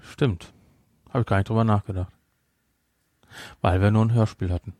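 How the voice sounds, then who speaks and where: A man's voice: lowish voice, fairly even intonation, moderate pace, darkish tone, dry recording. A man with a German accent chatting casually as a podcast co-host, explaining things in a rambling way with frequent self-corrections. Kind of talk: casual film discussion chat.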